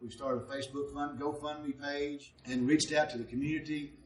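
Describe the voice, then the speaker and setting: A man speaking conversationally in a documentary interview, halting now and then mid-sentence, as a theatre troupe member. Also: fairly high pitch, fairly even intonation. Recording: roomy echo, distant microphone.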